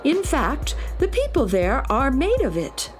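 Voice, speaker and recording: A woman playing a kindly, patient schoolteacher who gently instructs children in a space radio drama. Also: high-pitched, huge pitch swings, a little slow, music in the background, full character voice. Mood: kindly, instructive. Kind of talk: children's audio drama acting.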